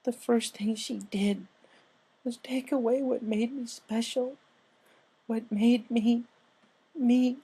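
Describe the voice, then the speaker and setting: A traumatized young pegasus mare from a pony storybook, acted out by a man. The voice is lifted high and soft-toned, halting and tearful, as if barely holding together. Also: steady tone, slightly rough, full character voice. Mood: shaken, haunted. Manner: halting, sobbing, stammering delivery.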